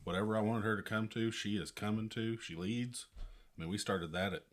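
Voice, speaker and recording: A man's voice: lowish voice, fairly quick. A man chatting casually on a podcast in a plainspoken way. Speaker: podcast participant. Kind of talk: casual chat.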